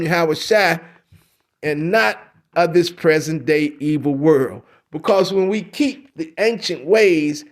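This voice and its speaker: Man, high-pitched voice, preaching scripture lesson, repetitive street-corner preacher cadence. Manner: repetitive, preachy, reads verses aloud slowly. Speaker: religious teacher.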